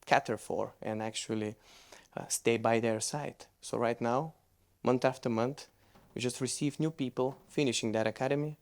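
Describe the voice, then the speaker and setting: A man's voice: medium pitch, fairly even intonation, moderate pace, hardly any pauses. Man giving a conference talk. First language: Bulgarian. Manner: loose, conversational, with frequent restarts. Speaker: conference speaker. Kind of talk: conference presentation with Q&A.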